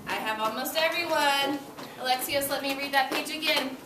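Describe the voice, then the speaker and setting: A young woman, an elementary teacher, leading an interactive read-aloud for small children in an encouraging, expressive way. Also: high-pitched, normal speed. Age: young adult. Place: classroom with children seated on the floor. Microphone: far camera. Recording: roomy, noisy classroom, distant microphone.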